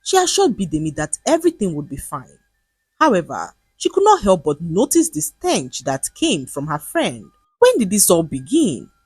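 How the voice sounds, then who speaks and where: A woman narrating a scripted folktale in an expressive, dramatic storytelling manner. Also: on the low side, moderate pace, husky, dry recording.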